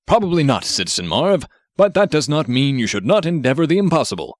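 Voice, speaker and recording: Man voicing a pompous, booming earnest superhero. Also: very animated, fairly quick, dry recording, clean studio sound. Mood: grandly earnest. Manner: declamatory, formal, stilted. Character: pompous, earnest male superhero, stubbornly optimistic, formal speech.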